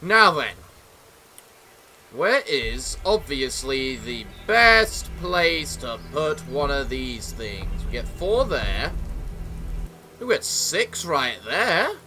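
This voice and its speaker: A young English man giving casual let's-play commentary in a theatrical gentleman persona, a little sick, with a voice that sounds off. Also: mid-range voice, very animated, very slow, slight background noise. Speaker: gaming YouTuber.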